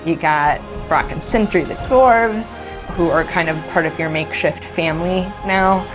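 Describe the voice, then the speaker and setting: A woman's voice: fairly low pitch, unhurried. A woman talking as a game developer in a promotional interview, with music behind her.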